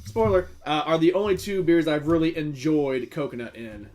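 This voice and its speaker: Higher-pitched man chatting on a podcast. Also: moderate pace, a little mellow. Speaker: podcast co-host. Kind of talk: casual comedic beer-review chat.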